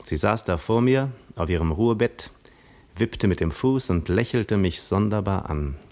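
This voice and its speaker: Cunning Greek war veteran, low voice, measured, reflective, self-assured, articulate, 1950s radio play monologue. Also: male voice, lively intonation, darkish tone. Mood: musing, wry. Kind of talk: 1950s radio drama monologue, literary first-person narration.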